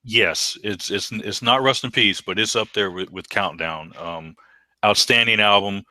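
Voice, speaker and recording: A middle-aged man with a baritone voice, co-hosting a casual podcast chat and speaking with enthusiasm. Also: measured pace, sharp tone, no room sound. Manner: enthusiastic, repeats words when restarting. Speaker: podcast co-host.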